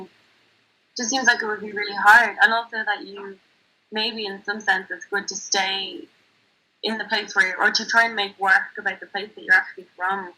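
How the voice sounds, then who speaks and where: A woman's voice: mid-range voice, pushed and bright, low-quality recording. A woman chatting casually and reflectively, picking her words in a tentative, thoughtful way.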